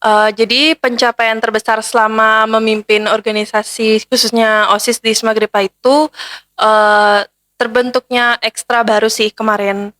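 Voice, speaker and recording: A young woman guest from Bali answering interview questions casually and hesitantly, with many pauses and fillers. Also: on the high side, little pitch movement, a bit bright. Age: young adult. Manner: hesitant, many fillers, calls herself Kakak. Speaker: guest, former student council head.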